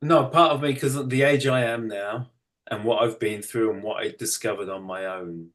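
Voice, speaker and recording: Low-voiced British man, matter-of-fact. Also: steady tone, normal speed, fairly bright tone. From United Kingdom. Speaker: interview guest, energy practitioner and massage therapist. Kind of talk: casual conversational explanation.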